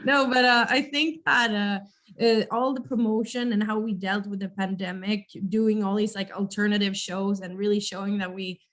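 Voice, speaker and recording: A young woman with a naturally raspy voice, a rock singer chatting casually and hesitantly as an interview guest. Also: mid-range voice, steady tone, moderate pace, fairly bright tone. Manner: casual, hesitant, laughs often. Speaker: band vocalist, interview guest.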